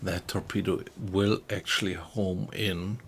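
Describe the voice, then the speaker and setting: German man, German accent, low and gravelly, unhurried, murmuring game-stream commentary. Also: slight background noise. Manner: relaxed, slow, often quiet and mumbled. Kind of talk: casual gameplay commentary, chatting with viewers.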